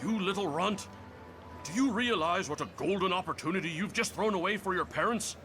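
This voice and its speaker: A man's voice: high-pitched, a little fast, sharp tone, soft background music. Man acting oily, folksy American senator. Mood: angry, threatening. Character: folksy, manipulative middle-aged male senator, bullying beneath charm. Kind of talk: motion comic voice acting.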